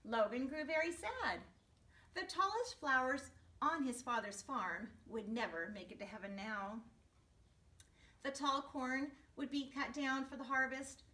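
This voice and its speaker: A woman's voice: sits high, a little slow, sharp tone. An older woman, a first grade teacher, reading a storybook aloud to young children expressively, with explanatory asides. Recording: echoey room, distant microphone.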